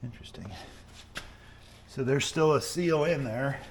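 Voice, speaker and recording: A man's voice: medium pitch, a little slow. Man talking through hands-on work, noisy recording. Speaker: car mechanic hobbyist, channel host. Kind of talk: casual hands-on how-to narration while working. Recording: noisy room, voice drifting off mic.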